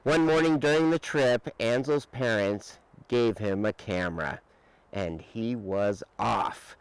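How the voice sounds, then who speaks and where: A man reading a children's picture book aloud with expressive, dramatic emphasis and drawn-out words. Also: fairly low pitch, slight background noise, rough recording. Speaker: storybook reader.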